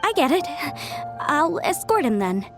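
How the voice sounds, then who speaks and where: Game role: earnest young female scholar, very high voice, hesitant and defensive, clear music underneath. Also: sing-song, unhurried, exaggerated voice. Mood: flustered. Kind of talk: scripted video game dialogue.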